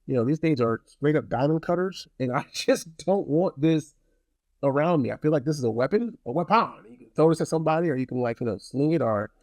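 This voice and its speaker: A man's voice: a little high, expressive, brisk, a little mellow, dry recording. A man talking casually to viewers in a rambling, blunt product review, often repeating phrases for emphasis. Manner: opinionated, blunt.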